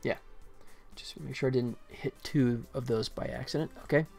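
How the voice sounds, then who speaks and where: A man's voice: medium pitch, steady tone, moderate pace, creaky, a little mellow, husky. A man in his forties giving casual live-stream commentary as he works at game development. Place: small indoor room at a computer. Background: faint music, keyboard typing.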